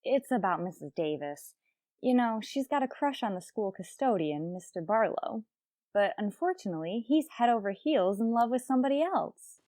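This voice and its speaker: An actress playing a wry, quick-witted high school teacher in an old-time radio comedy, arch and polite in manner. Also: female voice, lively intonation, dark tone, no room sound, close to an everyday voice. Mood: urgent. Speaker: lead actress.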